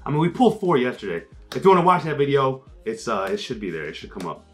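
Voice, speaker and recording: A man's voice: medium pitch, fast, faint music behind. A young man co-hosting, chatting casually and calling out card names as he opens packs. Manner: lively, calls out card names, excited outbursts. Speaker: co-host opening card packs. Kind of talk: casual chat while opening packs.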